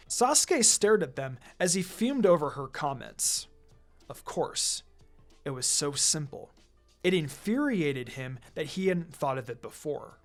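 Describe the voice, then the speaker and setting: Fan fiction narrator with music behind. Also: medium pitch. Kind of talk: scripted fan fiction story reading.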